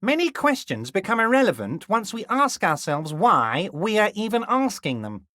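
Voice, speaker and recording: A scheming middle-aged union boss from a video game, talks with a high, lilting voice that swoops up and down. He is sugary and falsely friendly, and he dips down low whenever he says his listener's name. The sound is close and dry, with no room around it. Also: sing-song, moderate pace, sharp tone, no echo, heavily acted. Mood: wheedling. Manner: sing-song and falsely friendly, sinking low on the name he keeps repeating. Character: smooth-talking, manipulative middle-aged male union boss. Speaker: game character.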